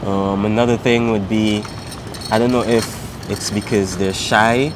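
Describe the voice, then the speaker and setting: A man's voice: on the low side, unhurried, background noise. A young man from Saint Vincent and the Grenadines, chatting in a casual interview with lots of "um" and "like". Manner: relaxed, many fillers like "um" and "like".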